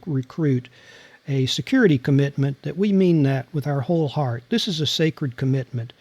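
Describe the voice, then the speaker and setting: Interview guest: man, slightly high voice, calm and deliberate delivery, dry close sound. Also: no room sound. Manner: measured, deliberate, soothing. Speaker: guest, former CIA officer and novelist. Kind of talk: interview answers.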